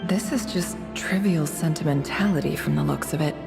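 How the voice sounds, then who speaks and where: A woman's voice: deep voice, moderate pace, gravelly. A woman voicing a thoughtful young game heroine, musing inquisitively to herself. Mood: pensive, curious. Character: thoughtful young woman traveller piecing clues together. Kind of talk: dramatic game voice acting, inner monologue. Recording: long reverb, music underneath. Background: music.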